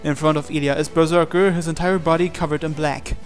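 A man reading a visual novel aloud as a dramatic narration, with deliberate pauses. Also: a little high, steady tone. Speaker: gaming channel host. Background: game music.

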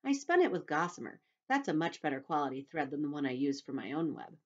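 A woman voicing a gentle, motherly female spider with courteous delivery, for a storybook. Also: low-pitched, very animated, fast, lo-fi sound.

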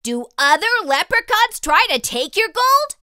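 A woman's voice: extremely high pitch, very animated, a little slow, bright, forceful tone, no room sound, studio quality, heavily acted. A woman voicing a small, curious, childlike spot creature who eagerly asks lots of questions in a children's storybook read-aloud.

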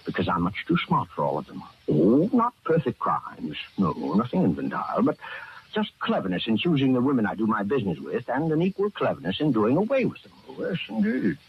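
An actor playing a genteel, menacing killer who gloats with mock courtesy and rambles on, in 1940s radio horror style. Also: male voice, mid-range voice, wildly swooping pitch, fairly quick, gravelly. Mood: gloating menace. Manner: rambling, self-satisfied, chuckling, mock-courteous. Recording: dull, noisy old-time radio recording.

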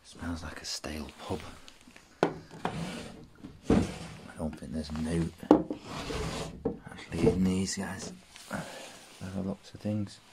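A man's voice: sits low, fairly even intonation, takes it slowly, vocal fry, long pauses. A British man giving casual, informal exploration commentary to his viewers on a handheld camera indoors. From United Kingdom. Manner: informal, addresses viewers as guys. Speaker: urban explorer vlogger. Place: inside a small derelict cottage. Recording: noisy handheld camera audio.

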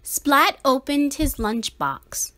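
A woman reads a children's picture book aloud in a high, bright voice. Her storytelling is very slow, with a lively rise and fall. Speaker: storybook reader. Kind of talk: children's read-aloud narration.

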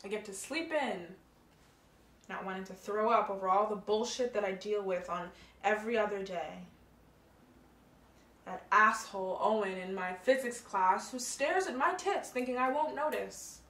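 An actress playing a blunt, profane but caring young college friend. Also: female voice, mid-range voice, normal speed, fairly bright tone, roomy sound, close to an everyday voice. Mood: bitter. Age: young adult.

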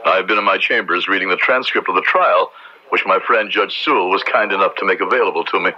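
An actor playing a stern, dutiful Puritan judge in a radio drama, with a formal, authoritative, archaic way of speaking. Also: male voice, deep voice, fast talker, sharp tone. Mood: stern, resolute. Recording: dull old radio recording.

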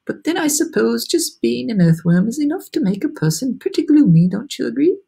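A performer voicing a prim, gentle female ladybug, polite and confiding, in a children's storybook. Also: medium pitch, sing-song, a little fast, gravelly, breathy edge, pitched above the performer's own voice, exaggerated voice. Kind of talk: children's storybook read-aloud.

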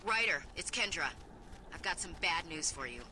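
A woman playing an urgent ally in a video game, briskly briefing on a mission. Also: lowish voice, expressive, background noise, natural voice. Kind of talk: video game dialogue acting.

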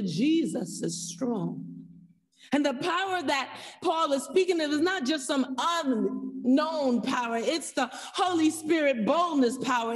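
A woman preacher delivering an earnest sermon, exhorting her listeners with repeated phrases and emphatic pauses. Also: high voice. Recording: echoey hall, distant from the mic.

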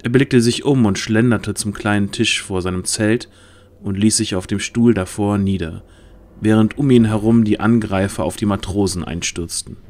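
A man's voice: fairly low pitch, fairly even intonation, normal speed, darkish tone. A man narrating a fantasy audio drama in a dramatic, scripted storytelling style. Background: music.

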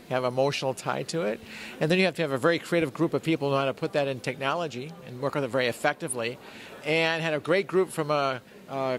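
A man in his fifties, a conference attendee, reflecting on what impressed him at the event, in a hotel lobby. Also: a little high. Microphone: handheld interviewer mic.

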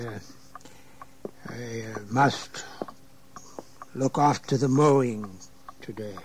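An actor playing a proud, imperious English gentleman and father in an audio drama, halting when shaken. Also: medium pitch, natural voice. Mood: stunned, cold. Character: proud English gentleman, outraged father disowning his daughter. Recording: noisy 1980s audio drama recording.

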